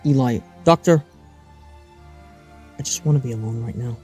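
A man's voice: medium pitch, moderate pace, a little mellow, faint music behind, lightly acted. A man performing earnest, alarmed adventurers in a fan-made audio drama, with dramatic, emotive delivery. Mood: tense, pleading. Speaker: voice actor performing all parts.

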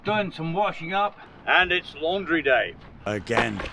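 A man's voice: on the high side, sing-song, a little slow, creaky. An older man sailing alone, talking casually to camera from a boat's cockpit at sea, rambling plainly with many restarts. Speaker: solo sailor vlogger. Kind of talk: casual vlog commentary. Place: outdoors in the cockpit of a sailboat at sea. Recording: muffled camera audio with loud wind and sea noise.

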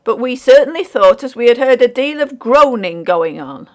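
Lady doing a dogged man for an audiobook, blunt, pitch jumping around. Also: animated, normal speed, darkish tone, natural voice. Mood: grudging. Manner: dogged, blunt, ungrammatical dialect speech. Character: gruff, stubborn male English dock porter, working-class. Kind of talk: audiobook character voice.

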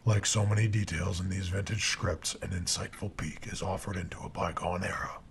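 A man introducing a radio horror drama in a formal, ominous, deliberate manner, like a vintage scripted host. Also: low-pitched, steady tone, creaky, slight background noise.

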